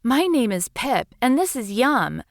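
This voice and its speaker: A little seahorse girl, voiced by a woman reading a storybook, speaks high and earnest. She is polite and eager, with a lively lilt that suits a small young creature in a children's tale. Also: unhurried, gravelly, no echo, clean studio sound, full character voice. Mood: earnest pleading.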